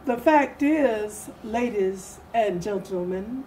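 An older woman giving a solemn, deliberate dramatic reading of historical oratory, with long pauses, in a small room. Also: mid-range voice, dark tone, a little hiss or hum, rough recording. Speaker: readers theatre performer. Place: small furnished indoor room.

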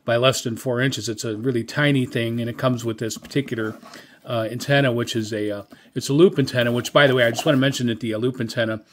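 A man's voice: medium pitch, fairly even intonation, fast, slight background noise. Conversational middle-aged man, hesitant and rambling. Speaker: radio hobbyist reviewer. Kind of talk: casual product review. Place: indoor room with radio equipment.

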